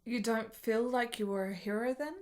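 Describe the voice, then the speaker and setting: An actress playing an intense, imperious, sharp-witted woman in a period radio play. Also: a little high, steady tone, normal speed, close to an everyday voice. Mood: quietly curious.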